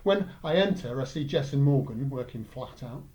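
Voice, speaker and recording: A man's voice: mid-range voice, animated, normal speed, dark tone. English man reading a light-hearted story. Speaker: story reader.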